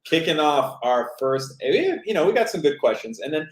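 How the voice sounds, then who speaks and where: A man's voice: fairly high pitch, big pitch swings, brisk. Easygoing young man in an echoey room. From US Pacific Northwest. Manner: easygoing, rambling with fillers. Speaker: co-host of a couple's channel. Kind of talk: casual live-stream chat.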